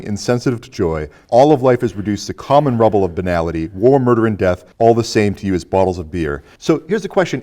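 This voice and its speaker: A male host with a medium-pitched voice and very varied intonation. He leads a casual conversation about film, setting out points and asking questions. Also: dark tone. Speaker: host posing discussion questions.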